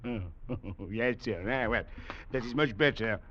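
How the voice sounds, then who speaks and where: Brutal, forceful sergeant. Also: male voice, medium pitch, unhurried. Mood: mocking menace. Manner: mocking, threatening, barks orders. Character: brutal, sneering Foreign Legion sergeant, sadistic and menacing. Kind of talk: 1940s radio acting. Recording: muffled 1940s radio recording.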